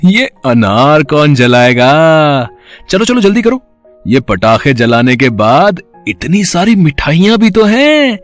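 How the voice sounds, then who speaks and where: A man voicing a kind-hearted, protective gentleman in a cartoon story, speaking in an emphatic, fatherly way. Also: mid-range voice. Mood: playful, cheerful. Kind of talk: acted cartoon story dialogue.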